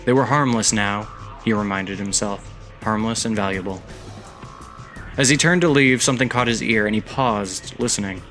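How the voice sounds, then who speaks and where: A man hosting his own podcast, narrating a story and giving announcements in a steady, measured way. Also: on the low side. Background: background music.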